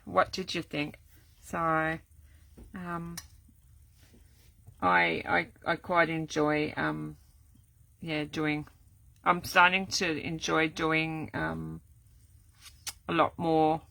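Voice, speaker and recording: Older woman, gravelly and croaky, rambling, full of ums, lowish voice. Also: pushed and bright, no echo. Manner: rambling, many ums and restarts. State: voice going croaky. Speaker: card-making crafter. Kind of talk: casual live craft demo. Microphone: camera set up at desk.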